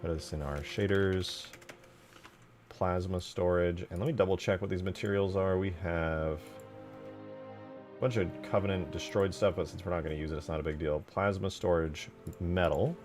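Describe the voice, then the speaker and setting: A man's voice: low voice, steady tone, normal speed, darkish tone, faint music behind. A man giving casual, informal live commentary as he works on game mods, muttering his thoughts aloud with the odd swear. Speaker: game modder, streamer.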